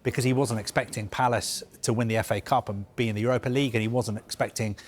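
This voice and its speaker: A man reporting football news, explaining a story in a measured, careful way with frequent fillers. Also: mid-range voice, fairly even intonation. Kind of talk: live news discussion.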